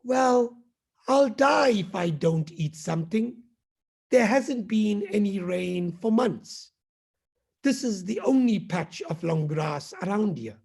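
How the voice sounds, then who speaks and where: A man's voice: high voice, expressive, takes it slowly, vocal fry, a little mellow, breathy edge, exaggerated voice. A man voicing a large, hungry buffalo who pleads politely for food, in a storybook reading. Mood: pleading.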